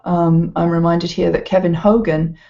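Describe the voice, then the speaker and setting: Woman, informal walkthrough, halting, gravelly fry, mellow tone, slightly dull recording. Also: fairly low pitch, steady tone. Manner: hesitant, halting delivery. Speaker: educational presenter.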